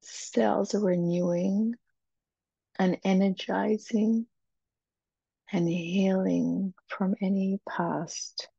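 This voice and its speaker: A woman leading a guided meditation in a soft, breathy, soothing voice, with short phrases and long pauses. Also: mid-range voice, steady tone, no room sound. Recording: dull, muffled, dry recording.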